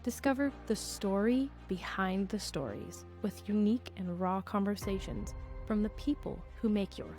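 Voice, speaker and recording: A woman reading a scripted podcast intro in a smooth, promotional way. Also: medium pitch, normal speed, darkish tone, soft background music. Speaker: show intro announcer.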